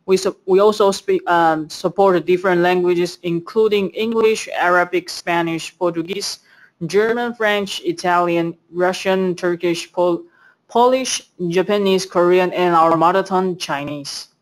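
A woman's voice: lowish voice, fairly even intonation, unhurried. Woman host, presenting webinar slides steadily. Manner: reads lists from slides, some fillers.

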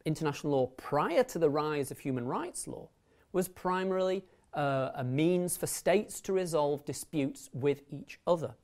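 A man in his thirties giving a formal, measured academic lecture as a university law lecturer, speaking into a lapel mic. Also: on the high side, animated, a little mellow. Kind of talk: academic lecture, partly read from notes. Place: indoor lecture recording room, at a lectern.

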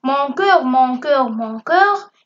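A woman reciting a poem for primary school children in deliberate, short, measured phrases. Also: sits high, lively intonation. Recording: dull, lo-fi sound.

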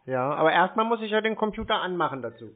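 Higher-pitched man, naive deadpan, phone sound. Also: quick pace, narrow, tinny audio. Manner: feigned naive, deadpan questioning. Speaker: prank caller baiting phone scammers. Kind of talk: casual phone conversation.

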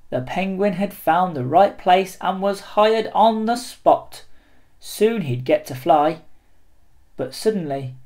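Slow, deliberate children's storyteller. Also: medium pitch, darkish tone. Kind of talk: expressive children's picture book read-aloud.

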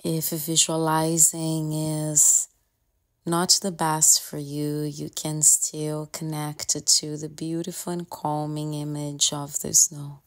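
A woman guiding a meditation in a calm, gentle way, leaving long pauses between short phrases. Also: deep voice. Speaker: meditation guide. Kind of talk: guided meditation, soft and soothing instruction.

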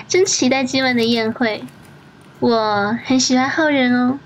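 A woman's voice: high voice, animated, slow, a little hiss or hum, rough recording. A woman playing a sly, menacing mistress of a house in an audio drama, mocking and commanding in a mock-sweet way. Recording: background music under the voice.

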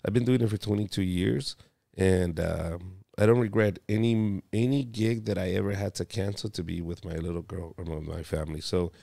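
A man's voice: low-pitched, fairly even intonation, moderate pace, vocal fry, dark tone, no room sound, clean studio sound. A man hosting a casual podcast chat, loose and profane, calling his guest bro. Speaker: podcast host and comedian.

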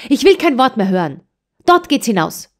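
A woman reading a storybook aloud and voicing a grim, middle-aged farmer's wife who scolds in a sharp, harsh way. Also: medium pitch, very animated, normal speed, voice pushed up.

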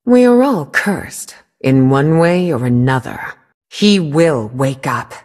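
Cursed sorceress queen, low husky voice, lively swooping intonation, menacing game lines. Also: takes it slowly. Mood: haughty.

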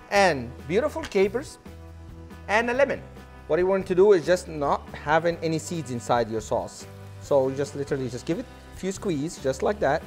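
A man in his thirties, a chef giving an enthusiastic cooking demonstration on a studio kitchen set, on a lapel mic. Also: sits high. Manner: enthusiastic, non-native phrasing.

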